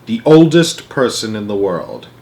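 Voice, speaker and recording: A man narrates a children's book aloud in an expressive amateur style, sometimes tripping over words and correcting himself. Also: fairly low pitch, a little slow.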